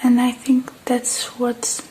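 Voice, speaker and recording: Slow, hushed woman doing ASMR roleplay. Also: on the high side, completely flat delivery. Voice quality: soft, breathy whispering. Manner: hushed, slow, halting murmurs to the listener. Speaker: ASMR artist. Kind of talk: ASMR roleplay as a robot repair technician, soft-spoken and whispered. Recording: close ASMR mic with faint noise.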